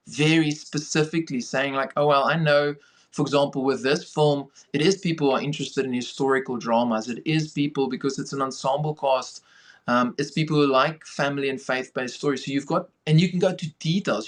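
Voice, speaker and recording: A man's voice: mid-range voice, a bit bright. A man with a South African accent giving conversational interview answers as a filmmaker guest on a podcast.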